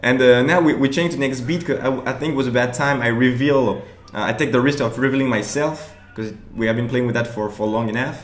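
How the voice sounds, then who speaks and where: A man talking through his own improv show in a relaxed commentary, halting and restarting often, laughing along the way. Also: mid-range voice, roomy sound. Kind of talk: casual commentary over show footage.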